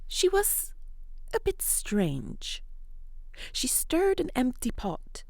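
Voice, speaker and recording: A woman telling an interactive story for young children in a playful, expressive way, sometimes dropping into a hush. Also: sits high, very slow, vocal fry, no room sound. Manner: playful, expressive, with hushed whispery moments.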